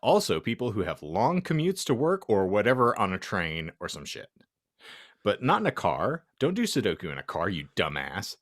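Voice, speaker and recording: Animated man reading aloud with profane exasperation. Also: lowish voice, normal speed, no room sound, clean studio sound. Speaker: podcast host reading fan fiction aloud. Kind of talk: comic dramatic reading mixed with casual chat.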